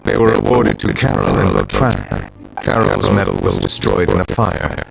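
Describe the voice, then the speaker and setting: A man playing a composed, stern chief justice in a video game, formal and measured. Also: deep voice, vocal fry, poor audio.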